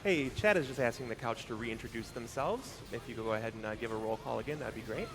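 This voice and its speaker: Male stream host, steady donation reads, mid voice, music underneath. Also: mid-range voice, quick pace. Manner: steady announcer reading names and amounts. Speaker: event host reading donations. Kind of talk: announcing donations on a live stream. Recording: game music behind voice.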